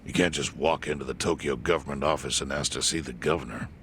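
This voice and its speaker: A grave older crime clan boss with an extremely low voice. He speaks deliberately and calmly, in the manner of a video game drama, with faint noise behind him. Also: heavily acted. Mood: dry, steady. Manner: measured, deliberate, solemn. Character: grave, calm older yakuza clan chairman. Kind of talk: video game cutscene dub acting.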